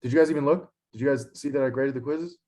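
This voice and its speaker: Hoarse young man scolding his class. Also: mid-range voice, fast, darkish tone. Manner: scolding, frustrated, many fillers. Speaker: instructor. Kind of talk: online lecture. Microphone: computer mic on a video call.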